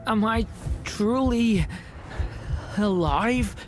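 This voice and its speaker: Slow, halting young dragon boy. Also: fairly high pitch, expressive, noisy background. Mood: faint, lost. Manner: halting, questioning. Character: fading young boy dragon, unsure and searching. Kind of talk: video game voice acting. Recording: loud music behind the voice.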